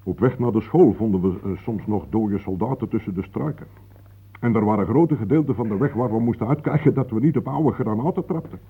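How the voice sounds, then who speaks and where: An actor playing an older, guarded, matter-of-fact local man with a husky voice, formally polite, in a Dutch radio play. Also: on the low side, brisk, phone-quality sound, a little hiss or hum, lightly acted. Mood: grave, reminiscing.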